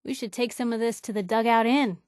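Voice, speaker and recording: An actress playing a sardonic, plucky young reporter with an American accent, making casual companion remarks in a video game. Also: female voice, on the high side, steady tone, fairly quick, a little mellow, no echo, clean studio sound, natural voice. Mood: playful, sarcastic. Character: wry, plucky young female reporter in a post-nuclear wasteland.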